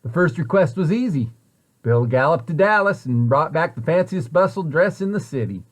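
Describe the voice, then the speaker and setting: A man reads a storybook aloud for children. His voice sits a bit high for a man, and he shapes the sentences expressively and deliberately, like a practiced storyteller. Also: lively intonation. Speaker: site director reading a picture book to children.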